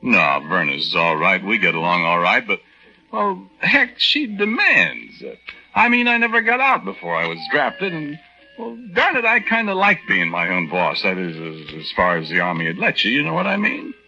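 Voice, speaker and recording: A man's voice: lowish voice, huge pitch swings, moderate pace, pushed and bright, faint music behind, full character voice. An actor playing a henpecked young American army private, telling his story in a hesitant, folksy, rambling way in 1940s radio style. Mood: confiding, rueful. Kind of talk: 1940s radio acting, conversational first-person narration. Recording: dull lo-fi 1940s radio recording.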